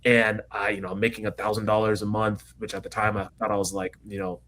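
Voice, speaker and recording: A young man from Kenya who speaks with an American accent, his voice sitting low. He rushes through his story with quick, eager energy, loaded with "like" and "you know" as he chats on a podcast. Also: a little hiss or hum. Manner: fast, energetic storytelling with frequent fillers. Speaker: guest entrepreneur. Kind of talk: casual interview chat.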